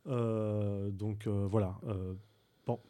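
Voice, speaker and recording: A man speaking as a guest on a radio talk show, recounting his volunteer trip in a halting way with frequent fillers and restarts. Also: on the low side, fairly even intonation, dark tone. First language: Arabic speaker. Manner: hesitant, many fillers and restarts. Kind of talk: radio interview.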